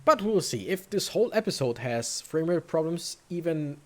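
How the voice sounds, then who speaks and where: A woman giving casual let's play commentary, thinking aloud in a halting, rambling way. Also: deep voice, big pitch swings, darkish tone. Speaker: let's player commentating a video game.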